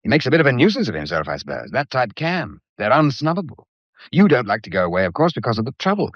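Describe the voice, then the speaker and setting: Breezy, swooping British painter. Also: male voice, mid-range voice, very animated, fast, fairly bright tone, no room sound. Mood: wry, protective. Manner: jaunty, slangy, teasing banter. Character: breezy, blunt, gallant male society painter. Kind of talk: period British radio drama acting. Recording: dull, muffled lo-fi audio.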